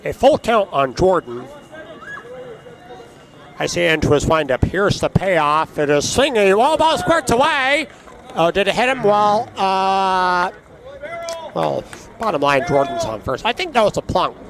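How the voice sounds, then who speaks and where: A hoarse, raspy man calls a baseball game, talking in a fairly high register and chatting between pitches. Behind him, a ballpark crowd keeps up a steady noise. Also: a little slow. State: hoarse from allergies. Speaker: play-by-play announcer. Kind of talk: baseball play-by-play commentary.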